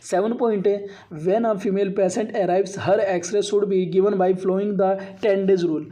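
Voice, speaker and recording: A man's voice: sits high, fairly even intonation, drawn-out words, dark tone. A man teaching as an instructor, going through a slide lecture point by point and repeating himself as he explains. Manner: repetitive, reads points out then re-explains them. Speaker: radiography instructor.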